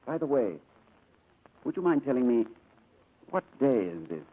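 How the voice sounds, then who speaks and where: An actor playing a dazed, courteous upper-class army captain with a clipped, halting English manner in 1940s radio drama style. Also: male voice, mid-range voice, sing-song, mellow, low-quality recording. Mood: dazed, polite. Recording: old 1940s radio recording, music behind.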